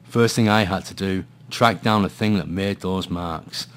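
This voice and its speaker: A low-pitched Scottish detective acted by a man from a noir radio thriller. He is world-weary and dry, speaking with understatement, as if brooding over a grim case. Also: unhurried. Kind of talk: noir radio drama, dialogue and brooding first-person narration.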